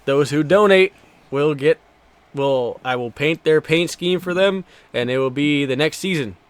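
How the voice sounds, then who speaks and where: A man's voice: fairly high pitch, unhurried, clean tone, no room sound. A man in his twenties doing casual, foul-mouthed live commentary while streaming a racing game, bantering jokily with viewers.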